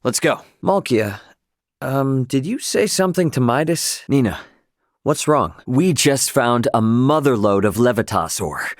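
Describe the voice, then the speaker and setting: A man voicing a brash, loyal young male captain in a video game, talking in an informal, earnest, expressive way. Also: mid-range voice, a little slow, no room sound, studio quality, natural voice. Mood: casual, reassuring.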